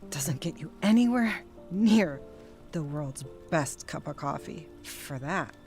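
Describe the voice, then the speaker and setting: Wisecracking, low-voiced, bickering wife. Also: female voice, animated, slow, dark tone, soft background music, exaggerated voice. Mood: chatty, matter-of-fact. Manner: sarcastic, blunt, teasing. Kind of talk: naturalistic period radio drama acting.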